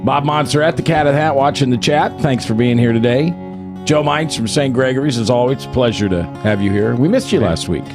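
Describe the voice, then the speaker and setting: A man's voice: medium pitch, brisk, music in the background. A man hosting a radio talk show, throwing in short encouraging interjections. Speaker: radio host.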